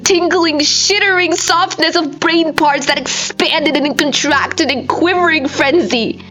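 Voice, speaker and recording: A high-pitched woman narrates a horror story with theatrical intensity. Her delivery is dramatic and scripted, with music playing underneath. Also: fairly bright tone, rough recording. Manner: intense, theatrical, with breathy whispers and strained cries. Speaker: amateur audiobook reader.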